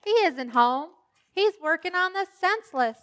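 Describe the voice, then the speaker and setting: A woman's voice: very high voice, animated, normal speed, a bit bright. A woman voicing a stubborn, chatty little neighbor girl with an insistent, childlike manner in an old-time radio comedy. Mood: chatty, cocky. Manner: insistent, childlike, repeats catchphrases.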